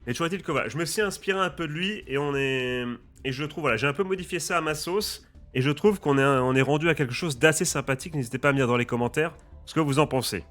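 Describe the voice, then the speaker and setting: A bright, forceful-sounding man with a slight head cold, talking casually and eagerly to his viewers. He keeps a chatty, lively tone as he lays out the rules, while quiet music plays in the background. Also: on the high side, fast talker. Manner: lively, addresses viewers as friends and guys. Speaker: gaming YouTuber and streamer.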